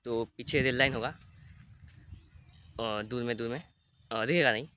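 A man's voice: medium pitch, steady tone, normal speed, bright, forceful tone, clear, resonant tone. A teenage boy in casual vlog chat, chatty and informal, filming himself on his phone at arm's length outdoors. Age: teens. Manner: chatty, keeps calling viewers brother. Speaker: vlogger. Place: outdoors on a field, then at home. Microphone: phone selfie camera at arm's length.

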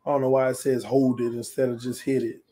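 A man hosting a casual livestream from his room at home while setting up a game, talking quietly because he is losing his voice. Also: mid-range voice, flat delivery, quick pace. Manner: talks quietly to spare his voice, reads chat aloud. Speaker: sports channel host. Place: small home room full of fan decor. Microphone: desk mic on stand.

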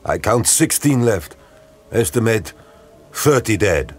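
An actor playing a terse, watchful warrior scout in an audio drama. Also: male voice, fairly low pitch, big pitch swings, slow pace, slightly rough, soft background music, exaggerated voice.

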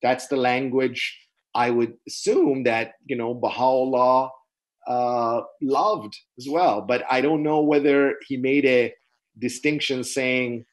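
A middle-aged man whose first language is Persian, giving an unscripted webinar lecture in a halting, earnest way with many restarts, recorded on a video call from a small room. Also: medium pitch, fairly even intonation, poor audio. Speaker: webinar presenter. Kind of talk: unscripted lecture answering questions. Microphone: webcam on a video call.

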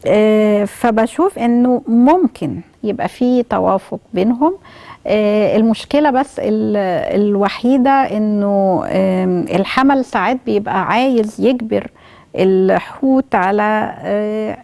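A middle-aged woman with an Egyptian accent, giving astrology advice in a talk-show studio, speaking slowly with pauses. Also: medium pitch, gravelly, mellow. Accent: Egyptian Arabic. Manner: measured, explains slowly with pauses and fillers. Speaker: astrologer guest. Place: bright television studio.

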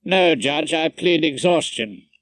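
A man's voice: on the high side, lively intonation, slow pace, a bit bright, no echo, exaggerated voice. A man voicing a wry, irreverent older male barrister in an audiobook, dry and droll. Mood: weary, dry.

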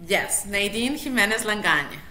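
Bright woman, roomy call. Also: medium pitch, expressive, normal speed. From Mexico City. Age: middle-aged. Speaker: interview guest, matchmaker. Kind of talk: casual interview chat. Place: small room indoors, on a video call. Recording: roomy, noisy video-call audio.

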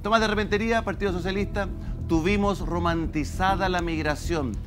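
Male Chilean debate host, fifties, high-pitched, interjecting repeatedly over clear background music. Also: fairly even intonation, brisk. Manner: interjecting, repeats words to cut in. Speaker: TV debate moderator. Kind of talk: moderating a live debate. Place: TV studio, standing. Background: music under the talk.

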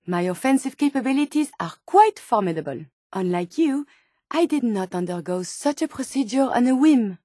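An earnest, curious robot scientist from a video game, with a distinct French accent. Her voice is high and very dry, close and without room sound. She phrases everything formally and courteously, choosing precise words. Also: lively intonation, normal speed, dark tone, dry recording. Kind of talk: video game character dialogue.

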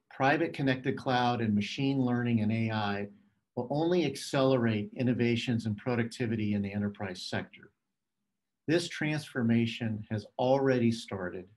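Middle-aged male executive, mid-pitched voice, formal and measured keynote read delivered remotely to a webcam. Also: poor audio. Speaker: telecom executive giving a keynote. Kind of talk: scripted keynote presentation.

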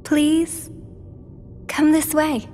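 An American woman playing a gentle, solemn young girl with mysterious powers in a video game, speaking softly and earnestly in echoing reverb. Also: high-pitched, completely flat delivery, extremely slow. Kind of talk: video game cutscene voice acting. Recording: long echoing reverb, music underneath.

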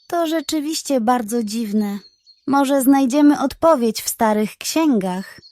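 A woman voicing a wise old female owl in a children's storybook, grave and caring, with a solemn, measured delivery. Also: sits high, animated, warm tone, no echo.

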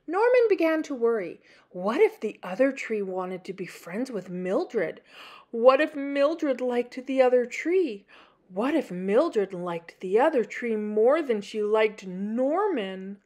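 A woman from Canada reading a children's picture book aloud as story-time host, expressively, with dramatic pauses and emphasis. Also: a little high, darkish tone.

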